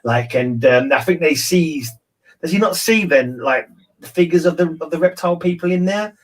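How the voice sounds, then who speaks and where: A man's voice: fairly high pitch, normal speed. A man in a casual book discussion, rambling and thinking aloud as he repeats his phrases. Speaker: discussion panelist.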